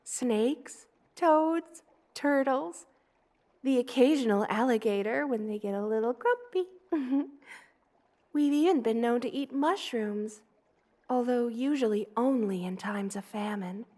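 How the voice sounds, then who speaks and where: A woman voicing a gentle, motherly spider queen in an ASMR roleplay, tender, cooing and soothing as she dotes on the listener. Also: fairly high pitch, slow. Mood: gently reassuring. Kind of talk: ASMR roleplay, personal attention.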